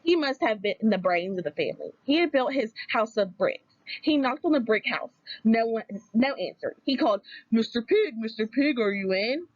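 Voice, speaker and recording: Expressive woman reading a storybook aloud. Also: a little high, moderate pace, dry recording, highs cut off. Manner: expressive, conversational. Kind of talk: scripted storybook narration.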